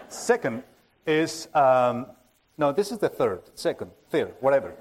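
A man with a Spanish accent, Spanish as his first language, preaching an informal sermon, halting and joking at his own expense with many fillers and restarts. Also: mid-range voice, sing-song, slight background noise. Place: church hall with a full audience.

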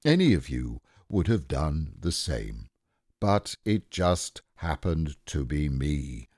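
A man's voice: a bass voice, sing-song, slow, darkish tone, husky, no room sound, clean studio sound, exaggerated voice. A man voicing a gloomy, self-important donkey who speaks in a pompous, stern, ponderous manner.

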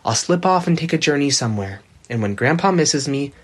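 A woman's voice: sits low, expressive, fairly quick, husky, pitched below the performer's own voice. A woman reading aloud, voicing a proud, hot-tempered young man who sulks and talks back. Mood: indignant. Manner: sulky, defiant.

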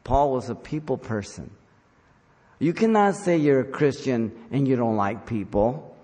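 A man's voice: mid-range voice, lively intonation, a little slow, mellow. An older man preaching a sermon as a pastor, earnest and exhorting, repeating phrases for emphasis. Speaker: pastor preaching to his congregation. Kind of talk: sermon with scripture reading. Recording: long hall reverb.

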